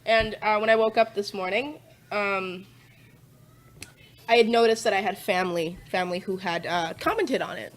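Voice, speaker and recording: A woman's voice: medium pitch, normal speed. Laid-back woman telling stories casually. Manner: laid-back, profane storytelling with frequent fillers and laughs. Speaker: podcast guest. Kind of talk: casual chat.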